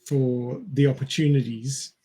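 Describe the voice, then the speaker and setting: A man's voice: medium pitch, fairly even intonation, unhurried, dark tone. A man co-hosting a podcast, discussing films casually and reasoning through his points in an explanatory way. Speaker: podcast co-host.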